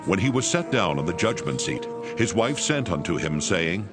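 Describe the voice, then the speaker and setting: A man's voice: deep voice, big pitch swings, moderate pace, over music. A man narrating scripture in a dramatic, scripted style.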